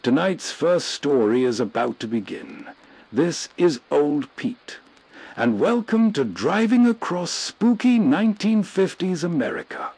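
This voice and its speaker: A man's voice: fairly high pitch, expressive, a little slow, a little mellow, slightly rough, dry recording. A man hosting a vintage radio story show with scripted, atmospheric narration. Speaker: host introducing vintage radio stories.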